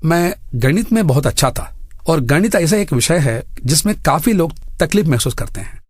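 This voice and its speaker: A man's voice: fairly high pitch, expressive, moderate pace, dry recording, studio quality. A man speaking as an interview guest, earnestly laying out his points and advice one by one. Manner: earnest, makes enumerated points. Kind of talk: radio interview, advocacy and advice.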